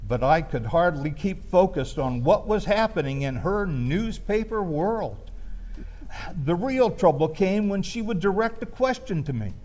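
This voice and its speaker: Slow-paced man telling stories in a high voice. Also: lively intonation, a little mellow. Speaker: storyteller. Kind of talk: live storytelling, first-person comic reminiscence.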